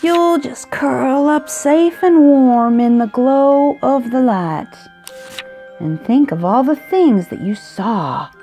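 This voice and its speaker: A woman reading a children's picture book aloud, expressively, with drawn-out emphasis on key words. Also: sits high, lively intonation, warm tone. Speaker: storybook reader. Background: music.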